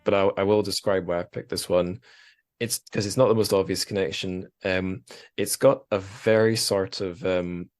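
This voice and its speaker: A man's voice: low-pitched, steady tone, normal speed. A man in his thirties hosting a casual podcast, talking in an informal, reflective way with some swearing. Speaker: podcast host.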